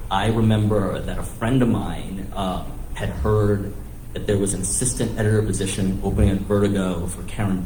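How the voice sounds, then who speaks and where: A man's voice: fairly low pitch, dark tone. An American man telling personal stories casually on a panel, interrupting himself as he thinks aloud. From northeastern United States and Thailand. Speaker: comics writer on a panel. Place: live panel event in a room. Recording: echoey room with faint background noise.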